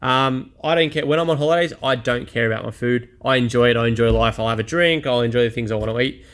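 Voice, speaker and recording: A man talks straight to the camera in a personal vlog. His delivery is blunt, chatty and a little self-deprecating, and his voice sits at an ordinary middle pitch for a man. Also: fast talker, a bit bright. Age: 30s. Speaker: vlogger. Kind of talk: candid personal vlog monologue.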